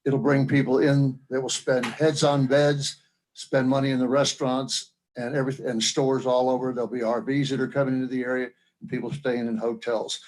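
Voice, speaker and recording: A man's voice: mid-range voice, flat delivery, a little fast, darkish tone, poor audio. A man giving a plain-spoken public comment at a local government meeting, earnest and folksy in manner. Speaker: member of the public seeking support at a county meeting. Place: county meeting room.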